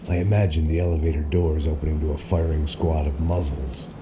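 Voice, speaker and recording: A man playing a jaded, middle-aged, hard-drinking action hero in deadpan, world-weary noir game narration. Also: a bass voice, brisk, noisy background. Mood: brooding, weary. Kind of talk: hardboiled noir voice-over narration.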